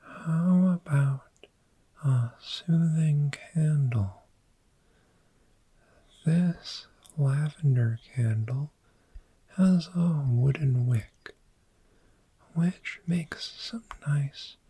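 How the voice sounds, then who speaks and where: A performer voicing a friendly, soothing dragon in an ASMR roleplay, speaking in a gentle, breathy whisper and lingering on repeated words. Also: mid-range voice, drawn-out words, pauses often, heavily acted. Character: friendly soothing dragon therapist showing a cozy hoard.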